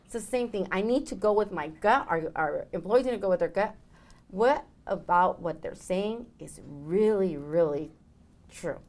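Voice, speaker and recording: A woman with a Mexican accent, a guest on a business talk show, who rolls her R's and speeds up when excited. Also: medium pitch, moderate pace. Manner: animated and laughing. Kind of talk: casual interview chat.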